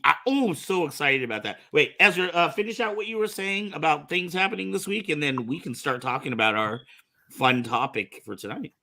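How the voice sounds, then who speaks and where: A man hosting a talk show, chatting casually in an animated, giggly and excitable way. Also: a little high, fairly quick, gravelly. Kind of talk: casual podcast chat.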